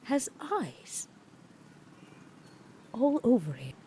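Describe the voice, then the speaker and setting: A woman voicing a composed, guarded female vampire, speaking slowly and in a measured way, often half-whispered. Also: fairly high pitch, sing-song, dark tone, slight background noise, pitched above the performer's own voice, full character voice.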